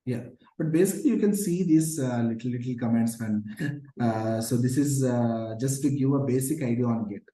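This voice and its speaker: A hoarse man teaching a live online coding class, explaining patiently with many fillers like "okay" and "uh". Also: mid-range voice, normal speed, mellow. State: hoarse from shouting. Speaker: programming instructor. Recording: roomy echo.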